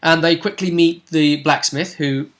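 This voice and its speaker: Bright-voiced man, jokey game master. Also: on the high side, fairly even intonation, unhurried. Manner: jokey, bantering with co-host. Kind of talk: casual recap of a tabletop role-play session.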